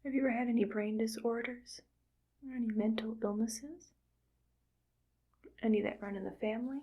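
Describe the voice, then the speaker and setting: A woman voicing a cheerfully callous female evil scientist in an ASMR roleplay, speaking in a soft close whisper and musing aloud as she works. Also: fairly high pitch, fairly even intonation, normal speed, muffled recording, natural voice. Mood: musing, calm.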